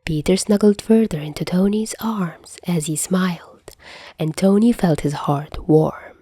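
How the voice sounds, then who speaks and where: Mellow, lowish-voiced woman narrating. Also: a little slow, slightly rough, no room sound, clean studio sound. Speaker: podfic narrator. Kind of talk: scripted narration of fan fiction.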